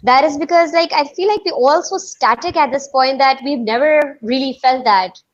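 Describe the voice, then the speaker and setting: A woman's voice: sits high, normal speed. A woman whose first language is Urdu, doing a blunt, irreverent stand-up bit over a video call. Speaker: comedian. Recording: muffled lo-fi call audio.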